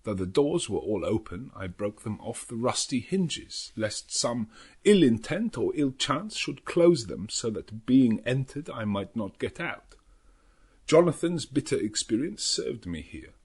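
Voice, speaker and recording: Guy doing old professor for an audiobook, husky, grave and haunted, dramatic halting delivery. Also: medium pitch, big pitch swings, darkish tone, full character voice. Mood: solemn dread. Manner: slow, dramatic, halting foreign phrasing. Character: elderly Dutch professor, solemn, anguished vampire hunter. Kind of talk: audiobook character narration.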